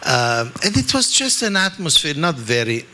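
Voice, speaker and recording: Italian-accented man giving a bright, lively scripture talk. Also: fairly high pitch, normal speed, a little hiss or hum. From Italy. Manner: animated, self-deprecating, frequent "okay?" asides. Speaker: spiritual teacher.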